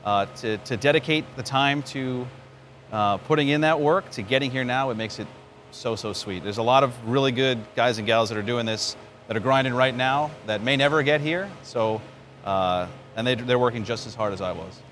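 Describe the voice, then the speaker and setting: A man's voice: medium pitch, moderate pace, a bit bright. A man from New York with a mid-range voice speaks in an interview. He tells stories in a conversational way, like a working sports announcer off the air. Faint outdoor ambience sits behind him. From New York, United States. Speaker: baseball radio play-by-play announcer. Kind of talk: conversational interview answers, storytelling. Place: outdoors at a spring training ballpark. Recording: clear background noise, outdoor ambience.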